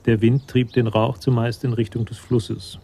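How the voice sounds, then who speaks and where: An actor serving as the composed narrator of a German radio crime drama, reading scripted prose. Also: male voice, mid-range voice, level tone, normal speed, mellow, slightly rough. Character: composed storyteller of a police tale.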